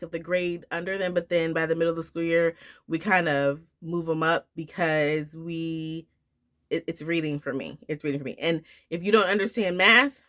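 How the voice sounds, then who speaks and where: A woman in her thirties talks casually to her viewers with a bright, forward tone. She sounds a bit nasal from a recent flu, and she rambles along with fillers and restarts. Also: sits low. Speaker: homeschool vlogger. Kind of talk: casual talk to camera.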